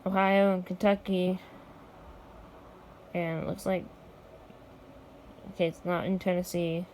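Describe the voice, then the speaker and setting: A woman's voice: on the low side, level tone, measured pace, darkish tone, slight background noise, poor audio. An American woman in casual vlog chat, talking in an offhand way with muttered asides. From United States.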